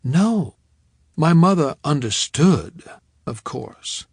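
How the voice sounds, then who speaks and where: A man's voice: on the high side, sing-song, slow pace, breathy edge, no room sound, studio quality, exaggerated voice. A man voicing a frank, wry young Southern woman, with a faint Southern accent, in an audiobook reading. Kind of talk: audiobook character voice.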